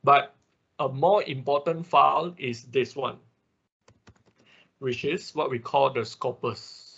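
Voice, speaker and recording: A man who speaks English as a second language, giving a live technical tutorial step by step in a halting manner, often checking with short tag words. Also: medium pitch, fairly even intonation, gravelly, pushed and bright, slightly rough. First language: not English. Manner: halting, often repeats and checks with okay or right. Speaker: workshop instructor. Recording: dull, lo-fi online class audio.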